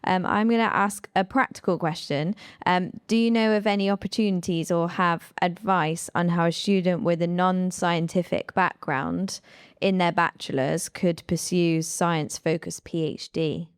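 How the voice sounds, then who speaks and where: Smooth, creaky-edged woman hosting. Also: on the low side, fairly even intonation, moderate pace, dry recording, studio quality. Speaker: host, moderator. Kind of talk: casual panel moderation.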